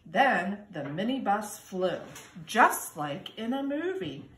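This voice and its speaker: A woman in her forties, a teacher, reading a children's storybook aloud in an expressive, singsong way. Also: medium pitch, slow pace. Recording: echoey room with background noise.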